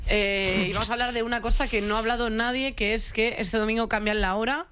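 A woman's voice: on the high side, steady tone, fairly quick, a bit bright, slight background noise. A woman with an accent from Spain hosting a comedy talk show in casual, teasing, irreverent, colloquial banter. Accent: Spanish from Spain. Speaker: radio show host.

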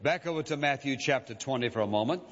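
A man's voice: mid-range voice, lively intonation. Male pastor preaching in a reverberant hall. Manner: measured, emphatic preaching with repeated phrases. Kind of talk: sermon. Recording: dull lo-fi sound with long hall reverb.

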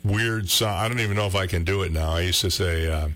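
A man with a deep baritone voice who works in radio, chatting casually and thinking aloud in a relaxed, self-deprecating way. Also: fast talker. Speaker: radio host. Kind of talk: casual conversation.

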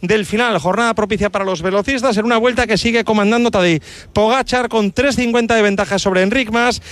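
A man delivers a sports preview in a notably high voice. He reads briskly, and the sound is dry. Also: fairly bright tone, dry recording. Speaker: sports reporter.

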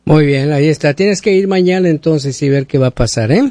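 A man's voice: fairly high pitch, a little fast, warm tone, no room sound. A man hosting a radio call-in show, chiming in with brief, friendly remarks and reading out listener messages. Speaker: radio host.

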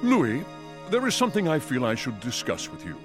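A man's voice: mid-range voice, wildly swooping pitch, brisk, music in the background. An actor playing a pompous, proud father swan in a children's story, with a resonant voice and flowery, oratorical delivery. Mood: solemn coaxing.

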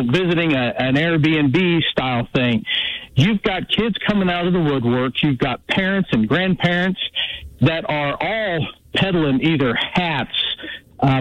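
A man in his sixties calling into a radio show, opinionated and halting, with many restarts. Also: on the high side, dry recording. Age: 60s. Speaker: caller. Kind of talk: call-in radio. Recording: dull dry phone-line call.

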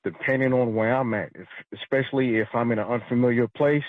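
A man from New York City calling into a radio show, speaking in a measured, matter-of-fact way. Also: mid-range voice, steady tone, no echo. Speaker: caller, retired federal officer. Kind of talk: phone-in commentary. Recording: tinny phone line.

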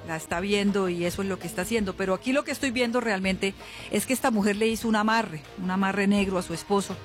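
A woman's voice: mid-range voice, quick pace. A woman hosting a radio call-in as a spiritual healer, speaking to callers in a familiar, consoling way. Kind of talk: live call-in psychic consultation and promotion. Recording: music bed behind voice.

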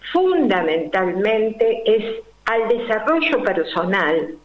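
An older woman with a Rioplatense accent talks slowly and carefully, pausing on fillers and asking herself rhetorical questions as she explains. The recording sounds roomy and a little dull. Her pitch sits in a middle range for a woman. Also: very animated. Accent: Rioplatense Spanish. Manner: slow and deliberate, many fillers and rhetorical questions. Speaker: numerologist guest. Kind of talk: explanatory interview talk.

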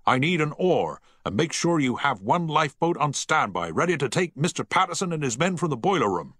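A man's voice: a little high, very animated, a little fast, a bit bright, breathy edge, no room sound, clean studio sound, voice pushed up, full character voice. A man voicing a commanding ship captain under fire who barks his orders, his voice raised well above his own.

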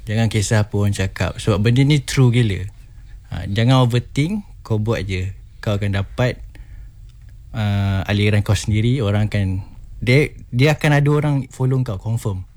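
A man's voice: fairly low pitch, brisk, darkish tone. A young man who runs a small business, modest and blunt, speaking in slangy Malay.

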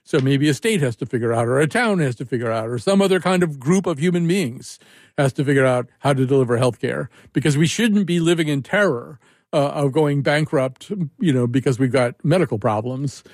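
A man's voice: mid-range voice, a little fast, a little mellow, no room sound, clean studio sound. A man hosting a call-in radio show, talking casually in a rambling, wry way and often restarting his sentences. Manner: rambling, self-interrupting, wry.

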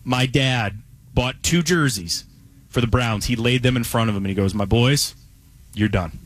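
A man's voice: mid-range voice, moderate pace, no echo. A man from Cleveland, Ohio, hosting a casual sports radio talk show, chatty and storytelling.

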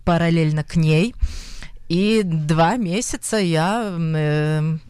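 A young woman from the former Soviet Union, an actress, talking in an interview with many pauses and fillers. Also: sits low, clear, resonant tone, no room sound, clean studio sound. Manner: hesitant, many fillers, warming to her story. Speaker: actress, guest. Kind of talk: conversational interview.

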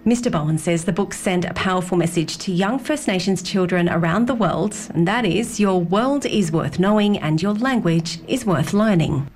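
A woman delivering a scripted radio news report in a measured, formal way. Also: on the low side, darkish tone, breathy edge.

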